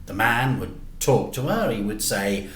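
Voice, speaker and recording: A man reading a children's story aloud in an animated, deliberate way with dramatic emphasis. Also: fairly low pitch, slight background noise. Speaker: teacher reading stories to children. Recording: echoey room.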